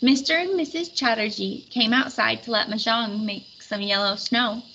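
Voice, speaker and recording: A woman reading a children's picture book aloud with expressive storytelling. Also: on the high side, moderate pace, dull audio, a little hiss or hum. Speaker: storybook reader.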